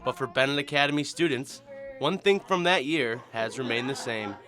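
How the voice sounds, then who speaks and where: A man's voice: medium pitch, moderate pace, sharp tone, faint music behind. A man with an American accent reading scripted narration as a television news reporter.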